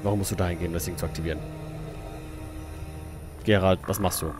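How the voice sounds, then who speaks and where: A man's voice: sits low, steady tone, fast talker. A man hosting a let's play, giving casual, playful gaming commentary and often muttering to himself. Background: faint game music.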